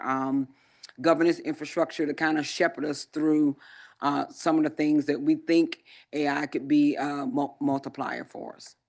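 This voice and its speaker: A man presenting at a conference, answering audience questions in a measured way with frequent pauses and ums. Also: fairly high pitch. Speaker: conference presenter, Air Force technology leader.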